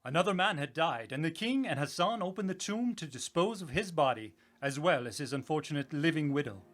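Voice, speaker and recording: A man's voice: on the high side, animated, fairly quick, fairly bright tone. An actor playing a bold sailor who tells his tale in a theatrical, formal storybook manner for a radio drama. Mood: grave narrating. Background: musical score.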